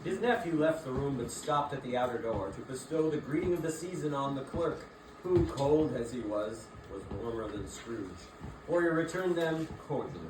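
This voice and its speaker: A man with a medium-pitched voice narrates a classic story from a script. He sounds far from the microphone in a reverberant room with clear background noise. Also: animated, moderate pace.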